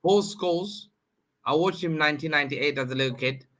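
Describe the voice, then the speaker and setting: Forceful, bright-voiced man debating football. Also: fairly high pitch, fairly quick. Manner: emphatic, repeats phrases for emphasis. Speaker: football panel guest. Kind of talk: heated football debate on a livestream.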